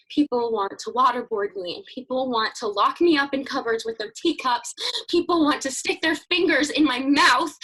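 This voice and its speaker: A woman's voice: fairly high pitch, slightly rough, rough recording. Greta, a woman playing a grieving young woman. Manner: shifts between raw outbursts and hushed whispers. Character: anguished young woman Greta, grieving her dying father. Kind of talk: dramatic monologue reading over video call. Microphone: video call.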